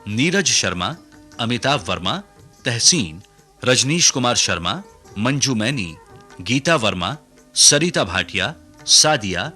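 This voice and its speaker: A man reads scripted radio credits in a formal, measured way. His mid-pitched voice is bright and lively in intonation. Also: faint music behind. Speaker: radio drama announcer. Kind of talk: scripted broadcast credits announcement.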